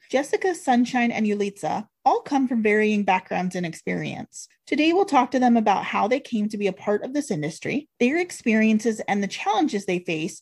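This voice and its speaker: A woman's voice: a little high, darkish tone. A woman hosting a podcast, reading a scripted introduction and then asking guests upbeat questions. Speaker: podcast host.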